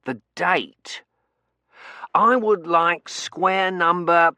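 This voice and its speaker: A man's voice: high-pitched, drawn-out words, pushed and bright, no echo, clean studio sound, pitched above the performer's own voice, full character voice. A man voicing a formal, anxious English butler in an audiobook, deferential and careful. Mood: polite, hesitant. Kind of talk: audiobook character acting.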